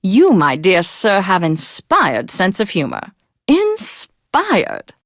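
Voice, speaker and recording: An actress playing a posh, flamboyant, tipsy classical radio DJ in a video game, with a gushing, affected, genteel manner. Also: female voice, mid-range voice, wildly swooping pitch, takes it slowly, no room sound, clean studio sound, full character voice. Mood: delighted, refined. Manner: theatrical, affected, genteel and effusive.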